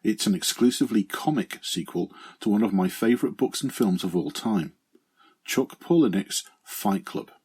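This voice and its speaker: Middle-aged male presenter, low slightly raspy voice, earnest reflective scripted monologue. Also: expressive, moderate pace, darkish tone. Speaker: podcast segment presenter.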